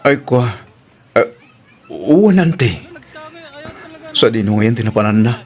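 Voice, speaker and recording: A man's voice: medium pitch, lively intonation, measured pace. A man playing an earnest, hesitant young farmer in love in an Ilocano audio drama, with music behind him. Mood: flustered. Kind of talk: Ilocano radio-style drama acting.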